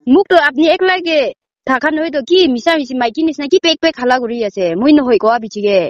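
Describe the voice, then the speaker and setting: A woman's voice: high voice, quick pace, dry recording, muffled recording. A woman in a casual phone argument, protesting defensively and sounding exasperated. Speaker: prank call recipient.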